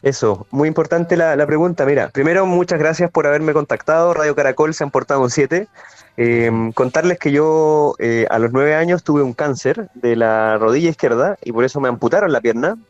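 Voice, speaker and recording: A man's voice: a little high, moderate pace, no room sound, muffled recording, soft background music. A man in his thirties with a Chilean accent, answering conversationally as a guest in a radio interview. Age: 30s. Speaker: interview guest, Paralympic athlete.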